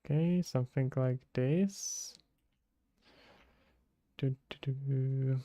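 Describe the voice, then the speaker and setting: A man's voice: mid-range voice, very slow, warm tone, no room sound. A Czech-accented man streaming game development, thinking aloud and answering chat questions casually. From Czech Republic. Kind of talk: casual live-stream chat while working.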